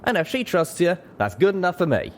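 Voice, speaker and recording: Man doing a high, folksy cowboy pegasus. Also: sing-song, fairly quick, a little hiss or hum. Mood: warm, trusting. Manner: folksy, grinning. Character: friendly, easygoing young cowboy pegasus stallion. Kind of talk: audiobook character voice.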